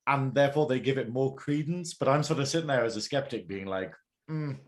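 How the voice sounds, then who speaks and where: A man's voice: mid-range voice, a little fast. A man chatting casually on a podcast in a wry, thoughtful, hedging manner.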